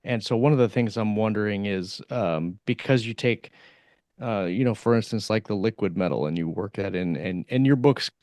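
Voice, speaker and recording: A man hosting a podcast, asking long, meandering questions in a casual, halting way. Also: on the low side, darkish tone, dry recording. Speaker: podcast host. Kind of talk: casual interview chat.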